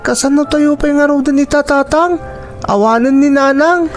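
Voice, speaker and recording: A woman's voice: high-pitched, steady tone, normal speed, soft background music, lightly acted. A woman voicing an upset young child in a Filipino radio drama, with a Filipino accent. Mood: worried, pleading. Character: upset young child, the little sibling Junjun.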